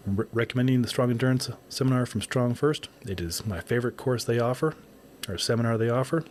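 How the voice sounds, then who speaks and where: Man, podcast host, raspy worn voice, somewhat low, rambling and upbeat. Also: darkish tone, slight background noise. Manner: rambling, upbeat, self-correcting. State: raspy voice from shooting in wind. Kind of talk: casual solo podcast update.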